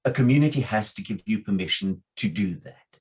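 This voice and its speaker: A man's voice: lowish voice, animated, brisk, slight background noise. A man speaking as a panelist on a video call, thoughtful and earnest, often repeating words as he works through a point. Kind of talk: panel discussion.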